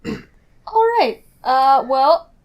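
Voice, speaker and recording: A woman with a slightly high voice casually narrates her moves in a tabletop game. She goes slowly, thinking aloud in halting pieces. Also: big pitch swings, highs cut off. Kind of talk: casual improvised roleplay narration.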